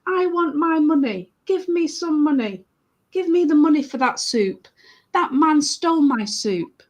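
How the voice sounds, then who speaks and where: An angry chef from a storybook, acted out by a woman. The voice is high, and he guards his soup jealously. He repeats his demands and accusations insistently. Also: animated, a little slow. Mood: angry demanding.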